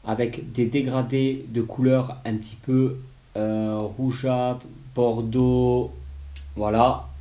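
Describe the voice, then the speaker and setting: A man's voice: mid-range voice, level tone, takes it slowly, dark tone. A man hosting a casual collectible review video, a bit congested from a cold and filling his speech with hesitant "euh"s. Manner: hesitant, many "euh" fillers and restarts. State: has a cold, low on voice.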